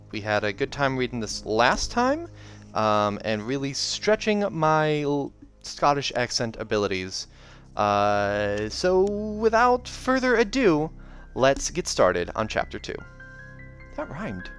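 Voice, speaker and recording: A man's voice: medium pitch, fairly bright tone, clean tone. A man hosting a read-along, narrating a novella expressively with casual asides. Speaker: host reading aloud and reacting. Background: video game soundtrack music.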